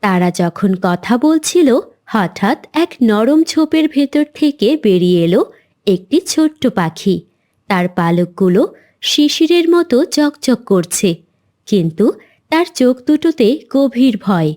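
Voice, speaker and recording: A woman with a high voice serves as narrator of a children's cartoon. She tells the tale as scripted storytelling. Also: very animated, measured pace, darkish tone.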